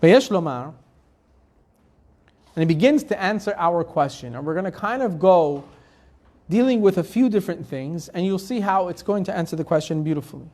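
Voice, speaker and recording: A man's voice: high-pitched, lively intonation, normal speed, darkish tone. A rabbi teaching a live Torah class. He reads Hebrew verses, translates them phrase by phrase, and asks the class rhetorical questions in a loose, conversational way. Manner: conversational, with restarts and fillers.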